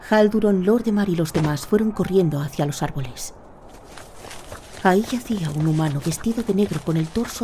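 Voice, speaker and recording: A woman's voice: fairly low pitch, noisy background. A woman narrating a fantasy audio drama in a scripted, dramatic storytelling style.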